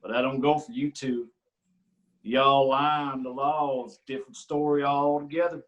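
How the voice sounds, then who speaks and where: A man playing a rural lawman in a staged reading, needling others with slow, threatening questions. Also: mid-range voice, fairly even intonation, fairly bright tone, natural voice. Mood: menacing. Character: menacing man who is the local law.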